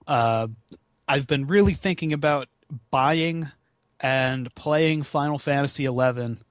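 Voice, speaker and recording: Man, mid-pitched voice, casual podcast guest, rambling, halting, sweary, self-deprecating, dry recording. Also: no echo. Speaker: podcast guest, charity gaming marathon organizer. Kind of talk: casual chat.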